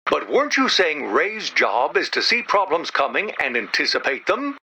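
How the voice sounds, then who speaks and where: An actor voicing an anxious, childlike, earnest robot in an animated video game, with a timid, hesitant manner and a robotic filter. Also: mid-range voice, normal speed, slightly rough, exaggerated voice. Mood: worried, earnest. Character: anxious, childlike, well-meaning robot, literal-minded.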